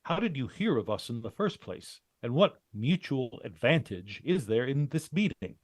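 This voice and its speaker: A man playing a shrewd, businesslike adventurer who leads his partners in a vintage-style radio drama, questioning briskly and wryly. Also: mid-range voice, big pitch swings, no room sound. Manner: brisk, probing, wry questioning.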